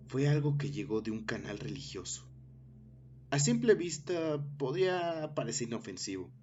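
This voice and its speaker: A man narrating a scary story in a measured way, with dramatic pauses. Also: fairly low pitch. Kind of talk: scripted horror story narration. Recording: dull lo-fi recording with music underneath.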